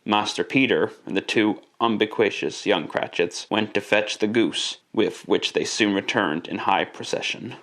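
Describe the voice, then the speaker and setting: A man's voice: on the low side, normal speed, hardly any pauses, fairly bright tone. A man narrating a classic radio drama in a theatrical, emphatic storytelling style.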